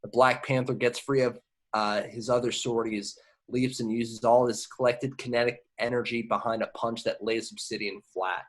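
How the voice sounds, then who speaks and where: A man's voice: mid-range voice, little pitch movement, normal speed. A man reading scripted action descriptions at an amateur table read, now and then stumbling and repeating words. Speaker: stage directions reader.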